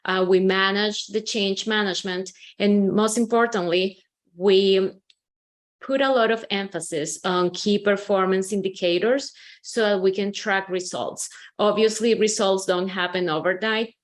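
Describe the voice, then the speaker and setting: A woman from Colombia, a company CEO, explaining her consulting approach in a webinar with steady, measured speech and frequent "um"s. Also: mid-range voice. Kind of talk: webinar presentation.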